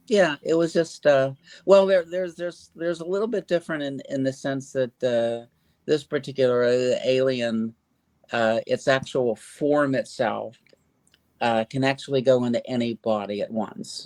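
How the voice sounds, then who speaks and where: A man's voice: medium pitch, darkish tone, no echo. An older man, an author in a casual interview, talking in a rambling, hesitant way with lots of fillers and restarts.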